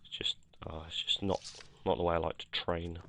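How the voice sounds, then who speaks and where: A man, croaky from a cold, casually talking over his gameplay with lots of fillers and self-corrections. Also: sits low, level tone, fairly quick, sharp tone, slight background noise. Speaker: gameplay commentator. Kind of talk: casual game commentary.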